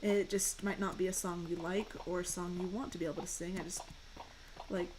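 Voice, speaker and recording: A young woman with a fairly deep voice, chatting casually and rambling while she streams a game and answers questions from chat. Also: little pitch movement, brisk, background noise.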